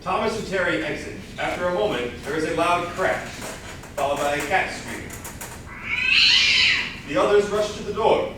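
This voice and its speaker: A man's voice: sits high, animated, moderate pace, pauses often. Echoey, distant man reading stage directions. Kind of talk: scripted narration at a staged reading. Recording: echoey hall, far mic, clear noise.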